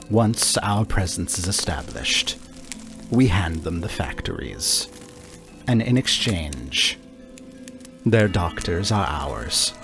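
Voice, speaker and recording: A man as a composed, gravelly, deliberate leader. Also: sits low, heavily acted. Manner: measured, formal, matter-of-fact. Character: composed, concise leader of a city takeover. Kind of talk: tabletop roleplay character acting.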